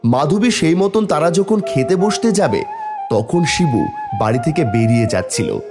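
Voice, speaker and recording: Expressive male storyteller over music. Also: on the high side, normal speed. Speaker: story narrator. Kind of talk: scripted fairy-tale cartoon narration.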